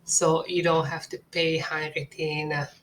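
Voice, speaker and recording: A woman with a Hungarian accent, an agency owner giving a scripted webinar presentation in an earnest, measured, salesy way. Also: sits low, flat delivery, a little hiss or hum, poor audio.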